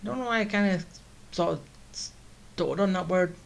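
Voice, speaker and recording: A woman's voice: fairly low pitch, normal speed, creaky. A woman giving casual let's play commentary, musing to herself with a hesitant delivery. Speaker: gaming streamer. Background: game music.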